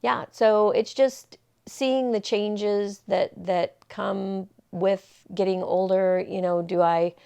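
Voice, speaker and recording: A woman's voice: mid-range voice, fairly even intonation, slow, creaky, mellow, smooth, dull audio. A woman in her sixties talking casually to camera, rambling and reflective, with many fillers and restarts. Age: 60s. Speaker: vlogger. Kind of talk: casual personal talk to camera.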